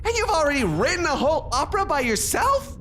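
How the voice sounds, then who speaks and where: A woman's voice: high-pitched, sing-song, fast talker, fairly bright tone, slight background noise. A woman playing a sarcastic, incredulous young opera soprano in an audio comedy sketch. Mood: hurt, incredulous.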